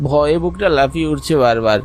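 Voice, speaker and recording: A man's voice: on the high side, brisk, a little hiss or hum, close to an everyday voice. A man playing a timid, easily frightened young village fisherman in cartoon horror dialogue, nervous and hesitant. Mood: fearful.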